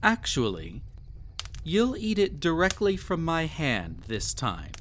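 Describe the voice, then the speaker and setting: A man performs a cruel, possessive emperor in an intimate audio drama. His delivery is slow and very expressive, swinging widely in pitch. He is imperious, teasing and condescending as he pampers, with faint noise behind the voice. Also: full character voice.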